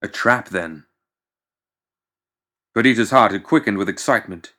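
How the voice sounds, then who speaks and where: A man with a fairly low voice narrates a story for an audiobook. His delivery is measured and dramatic, shaped as scripted fiction storytelling. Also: pushed and bright.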